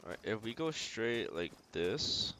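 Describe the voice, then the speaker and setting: A man's voice: lowish voice, very animated, measured pace, pushed and bright, a little hiss or hum, rough recording. A man streaming a video game, thinking aloud in a casual, laid-back, slangy way.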